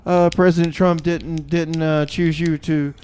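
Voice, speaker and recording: A man hosting a community radio show in a casual, improvised style, often halting as he talks. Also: on the high side, little pitch movement, a little mellow, slight background noise.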